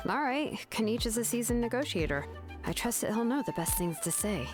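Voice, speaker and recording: A woman's voice: a little high, big pitch swings, a little fast, music in the background. A woman voicing a composed, earnest and slightly weary young ally from a game story, reading the dialogue aloud. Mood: businesslike.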